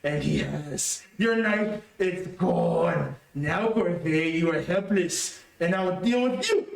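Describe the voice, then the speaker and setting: An actor playing a treacherous, cowardly ex-convict in an excitable, theatrical old-time radio melodrama style. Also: male voice, high voice, takes it slowly, vocal fry, heavily acted. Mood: gloating fury. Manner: theatrical, excitable pleading and threatening. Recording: echoey room, distant mic.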